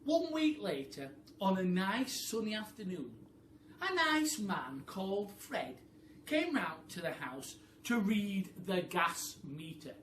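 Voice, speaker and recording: A man's voice: sits high, slow pace. British male storyteller in an echoey room. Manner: expressive, addressing children directly. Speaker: primary school teacher, storyteller. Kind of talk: children's storytelling. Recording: echoey room, distant mic, faint noise.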